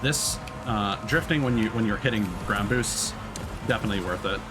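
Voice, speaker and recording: A man's voice: lowish voice, normal speed, music in the background. A man streaming a game with casual, informal, sweary live commentary. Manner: informal, sweary, self-critical. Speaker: streamer, VTuber.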